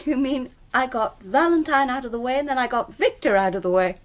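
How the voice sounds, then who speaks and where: An actress playing a highly strung widow under suspicion in a radio mystery, dramatic and evasive. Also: female voice, fairly quick, natural voice. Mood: defensive.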